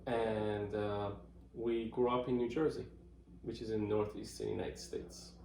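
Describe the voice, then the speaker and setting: Gravelly man from Iran, roomy echo. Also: on the low side, level tone, measured pace, mellow, a little hiss or hum. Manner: thoughtful, many fillers and restarts. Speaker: interviewee, marketing professional. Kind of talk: casual interview answers. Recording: roomy echoey room.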